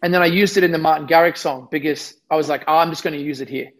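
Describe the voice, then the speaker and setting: A man's voice: a little high, steady tone, rapid-fire, rough recording. Young man, slightly lost voice. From Australia. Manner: rambling, self-deprecating, many restarts. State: losing his voice. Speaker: musician guest. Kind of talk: casual interview chat.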